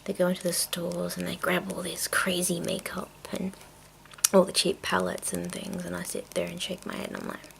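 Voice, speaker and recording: A woman chatting casually in a soft, mostly whispered ASMR style, rambling along, with tapping and clicking from small containers. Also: on the low side, flat delivery, moderate pace, vocal fry, poor audio. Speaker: video creator and host. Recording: noticeable noise, clicking sounds. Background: tapping and clicking of makeup containers.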